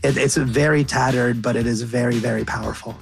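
A man's voice: mid-range voice, steady tone, brisk, gravelly, soft background music. A man from Qatar chatting casually as an interview guest, earnest and often rephrasing himself as he goes. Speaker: interview guest, chef and cookbook author.

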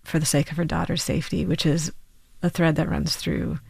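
A woman's voice: low-pitched, flat delivery, brisk, vocal fry, darkish tone. A woman in her thirties, a musician being interviewed, who talks reflectively with many restarts and hedges. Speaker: musician guest. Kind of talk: radio interview.

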